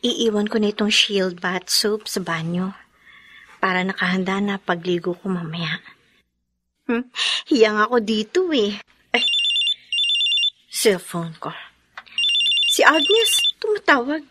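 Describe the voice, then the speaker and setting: An actress playing a chatty, concerned young woman friend in a radio drama, expressive and exclamatory. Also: mid-range voice, slow, pauses often, background noise. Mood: musing.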